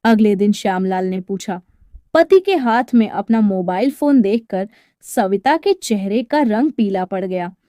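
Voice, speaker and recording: A woman's voice: mid-range voice, expressive, unhurried, mellow, dry recording, clean studio sound. A woman storyteller reading a scripted narration.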